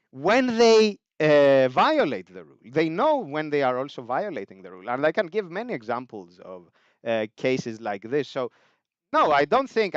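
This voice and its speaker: A man's voice: fairly high pitch, a bit bright, clear, resonant tone, no room sound, lo-fi sound. A man from Greece with a Greek accent, hosting a casual livestream and answering chat questions in a thoughtful, rambling way with many fillers.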